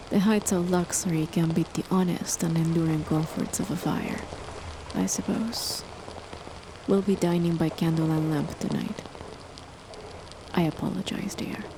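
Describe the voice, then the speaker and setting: Woman as a cold, condescending chemist spouse for an ASMR horror roleplay; slow, low, fry-edged whispers over steady rain. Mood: dismissive, then measured. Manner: soft, measured, condescending, often whispered. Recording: noisy with rain behind.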